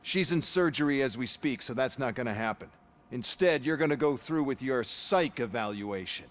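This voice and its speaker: An actor playing a cynical, condescending male corporate overseer in a video game, sarcastic and quietly threatening. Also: mid-range voice, lively intonation, normal speed, natural voice. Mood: smug, insistent. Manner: sarcastic, condescending, foul-mouthed. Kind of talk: video game cutscene acting.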